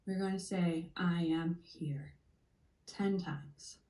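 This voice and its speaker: A woman leading a gentle guided meditation in a soothing, deliberate manner, leaving long pauses. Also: lowish voice, fairly even intonation. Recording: roomy, echoey sound.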